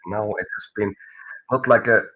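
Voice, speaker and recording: A man with a Finnish accent and a low, husky voice gives a live tarot reading. He speaks haltingly and often restarts words while searching for them. His native language is Finnish. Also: steady tone, highs cut off. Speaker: tarot reader.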